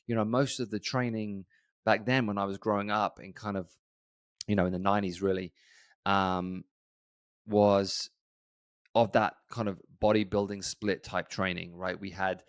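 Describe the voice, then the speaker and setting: A man in his forties talks on his own podcast in a loose, rambling way, full of ums and restarts. His voice sits a little low. The sound is dry and close, with no room around it. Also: level tone, moderate pace, clear, resonant tone, dry recording. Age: 40s. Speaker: gym owner and podcast host. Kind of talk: casual solo podcast talk.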